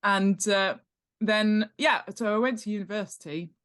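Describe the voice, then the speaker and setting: A woman's voice: mid-range voice, moderate pace, a bit bright. A woman in her forties chatting casually as a podcast guest, with a playful, joking manner. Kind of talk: casual interview chat.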